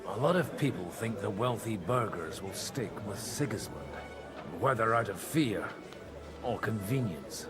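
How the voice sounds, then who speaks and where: An actor playing a shrewd, worldly priest in a medieval video game, wry and persuasive in manner. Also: male voice, fairly low pitch, expressive, unhurried, over loud music. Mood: wry, gossipy. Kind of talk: dramatic video game voice acting.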